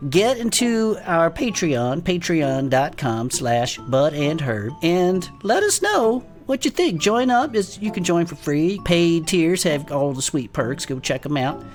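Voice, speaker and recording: A woman's voice: fairly low pitch, big pitch swings, a little slow, few pauses, a little mellow, faint music behind. A woman playing a brash, grouchy, sweary cryptid hunter in improvised comedic fiction podcast banter, with a slangy, emphatic, theatrical delivery. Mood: hearty, pitching. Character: brash, sweary, grouchy cryptid-hunting sidekick in a fantasy world. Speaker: podcast co-host.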